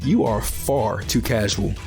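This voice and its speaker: A man's voice: fairly low pitch, takes it slowly, gravelly, music in the background. A man playing a bitter, fatalistic young survivor in a post-apocalyptic audio drama, curt, guarded and sardonic. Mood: guarded, irritated.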